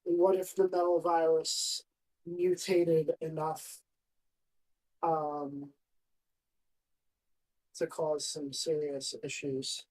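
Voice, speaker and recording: A woman's voice: sits low, little pitch movement, drawn-out words, pauses often, a little mellow, rough recording. A woman chatting casually and informally as she streams game cutscenes. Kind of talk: casual chat. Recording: music playing behind the voice.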